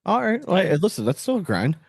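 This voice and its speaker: A man's voice: high-pitched, huge pitch swings, fast talker, creaky, no echo, studio quality. An American man hosting a podcast in casual, slangy chat, talking to the others like buddies. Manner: slangy, buddy-like. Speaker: podcast host.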